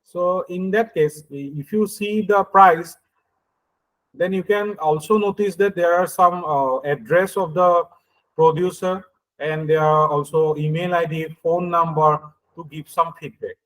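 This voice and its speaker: A man with an Indian accent lectures in a fairly high-pitched voice. He explains things through everyday examples, moving haltingly with frequent hesitations and short checks for understanding. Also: fairly even intonation, a bit bright, low-quality recording.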